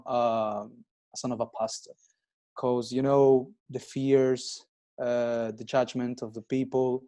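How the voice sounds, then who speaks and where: A man in his twenties who grew up in Italy, speaking slow, halting non-native English as a guest with many pauses and restarts. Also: medium pitch, warm tone. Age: 20s. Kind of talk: casual interview chat.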